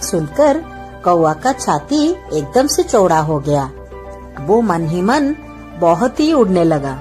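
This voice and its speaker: Female narrator for children's stories, mid-pitched and very expressive, light music behind. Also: slow pace. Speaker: storyteller. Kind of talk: scripted children's story narration.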